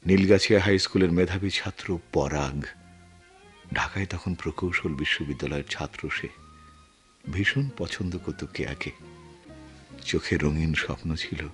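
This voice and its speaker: A deep, brooding war veteran. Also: male voice. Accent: Bangladeshi. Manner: brooding, halting, dramatic delivery with long pauses. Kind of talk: Bengali radio drama acting.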